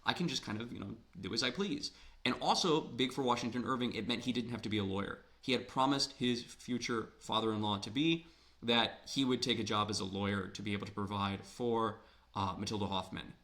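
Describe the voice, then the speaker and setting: A man's voice: fairly low pitch, fairly quick, fairly bright tone. A man from Ohio giving a literature lecture in a conversational way, with rhetorical questions, his voice a little worn. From Ohio, USA. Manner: conversational, asks rhetorical questions, repeats words when emphasizing. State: slightly losing his voice.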